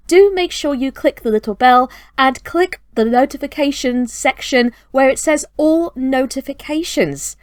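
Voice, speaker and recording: Female host for kids' storytime channel; higher voice, earnest, chatty, close dry sound. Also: no room sound. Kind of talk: chatty scripted update to camera.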